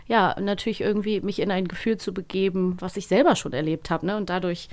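A woman's voice: mid-range voice, steady tone, quick pace, darkish tone. Woman chatting, hesitant, appreciative. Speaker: interviewer, voice actress. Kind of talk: casual interview chat.

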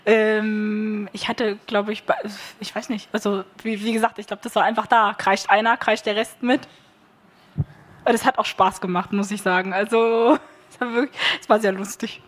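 A woman's voice: mid-range voice, level tone, normal speed. A woman speaking on a festival stage panel about her radio play, hesitant with many fillers. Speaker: workshop participant, radio play author. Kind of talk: panel discussion.

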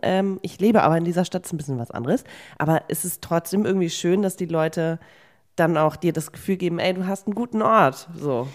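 Young woman, a little low in pitch, colloquial, casual co-host chat, occasional English words. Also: a little fast, darkish tone. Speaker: podcast co-host.

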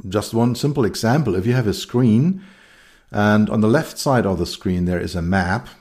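A man's voice: fairly low pitch, normal speed, darkish tone. A middle-aged man with a German accent, a consultant giving a thoughtful solo talk with frequent fillers and restarts. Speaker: consultant and keynote speaker.

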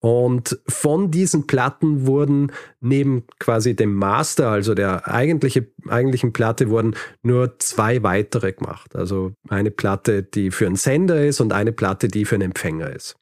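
A man's voice: mid-range voice, normal speed, hardly any pauses, darkish tone, dry recording. A man hosting a podcast, telling history in a conversational, explanatory way with light dry humour. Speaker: podcast host.

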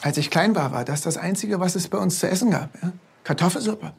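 An actor playing a brash, self-made music producer in a film drama. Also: male voice, high voice, fairly quick, creaky, a little hiss or hum. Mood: reminiscing.

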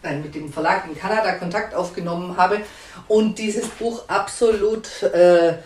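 A woman's voice: fairly low pitch, normal speed, echoey room, a little hiss or hum. A woman publisher answering interview questions in a hesitant, conversational way with frequent fillers and restarts.

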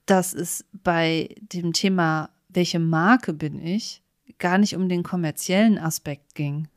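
A woman's voice: on the low side, fairly even intonation, slow pace, no echo, studio quality. A woman co-hosting a podcast, chatting casually and reflectively in an emphatic, candid and self-deprecating way. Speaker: podcast co-host.

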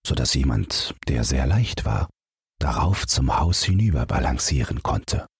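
Very deep, husky male storyteller. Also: dry recording, clean studio sound. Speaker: audiobook narrator. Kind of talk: scripted bedtime fairytale narration.

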